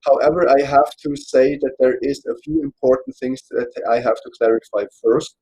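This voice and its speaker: A man from Austria with an Austrian German accent, German his first language, explaining a psychology topic in a casual online panel, pausing often to search for words. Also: mid-range voice, big pitch swings, gravelly, mellow, breathy edge, poor audio. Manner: hesitant, searching for words, checks notes.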